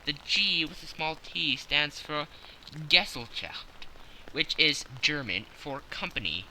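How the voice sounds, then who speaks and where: A man voicing a brisk, sharp-minded Victorian detective who lectures with crisp self-assurance in an audiobook. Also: on the high side, sing-song, a little hiss or hum, exaggerated voice, low-quality recording. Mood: lecturing. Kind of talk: audiobook character reading.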